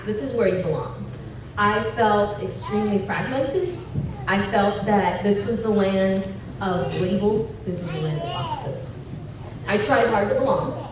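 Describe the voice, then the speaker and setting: Woman from Tanzania telling her story in an echoey hall. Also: mid-range voice. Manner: animated and joking, then earnest and reflective. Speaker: speaker at a fundraising brunch. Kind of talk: personal story told onstage. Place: event hall at a brunch. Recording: echoey hall, far mic, ambient noise.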